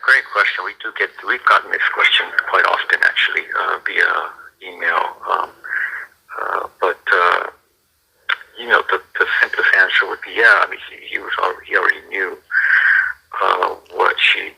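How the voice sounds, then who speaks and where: A man answering questions on a phone call as a ministry staffer, explaining in a halting, casual way. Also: sits low, steady tone, vocal fry, pushed and bright, slightly rough.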